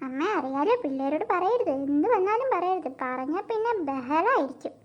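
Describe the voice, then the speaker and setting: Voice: extremely high pitch, animated, normal speed, muffled recording. A performer voicing a sweet-talking, secretive mother in a cartoon comedy, coaxing and reassuring.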